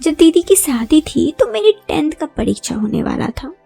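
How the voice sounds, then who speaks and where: A woman's voice: high-pitched, big pitch swings, moderate pace, gravelly, mellow, soft background music. A young woman narrating a first-person story in a chatty, confiding way, often addressing listeners with rhetorical asides.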